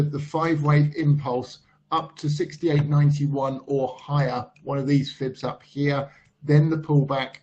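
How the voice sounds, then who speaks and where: A man at mid pitch walks through price charts in an unhurried, thinking-aloud way, filling gaps with ums. His voice is getting hoarse. The recording sounds slightly dull and lo-fi. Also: darkish tone. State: losing his voice. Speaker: market analyst. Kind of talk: screen-share chart commentary.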